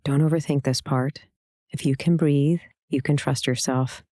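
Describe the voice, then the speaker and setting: A meditation instructor guiding a scripted practice in a calm, soothing, gentle way, leaving long pauses. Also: mid-range voice, dry recording, studio quality.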